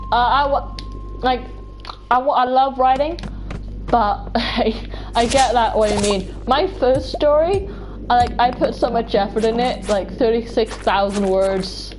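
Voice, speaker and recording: Slow, rambling young woman. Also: a little high, noisy background. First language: Welsh. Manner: rambling, full of "like" and restarts, giggly. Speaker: streamer. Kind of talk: casual chat while playing a game. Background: game music.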